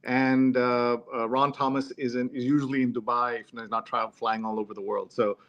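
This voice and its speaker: A man's voice: mid-range voice, flat delivery, moderate pace. Man hosting, dull lo-fi audio. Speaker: host of a live newspaper discussion show. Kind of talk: casual live talk-show chat.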